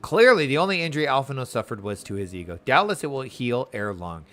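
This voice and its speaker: A man reads the part of an authoritative woman leader in a fantasy game. She is composed and formal. Her delivery is measured. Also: mid-range voice, sing-song, a bit bright, faint music behind. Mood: composed, dry.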